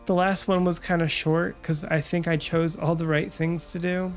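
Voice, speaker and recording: A young woman VTuber chatting calmly about her stream plans, her nose stuffed up from a cold, with lots of fillers. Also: on the low side, normal speed, soft background music. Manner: calm, relaxed, rambling with many fillers. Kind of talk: casual stream chat.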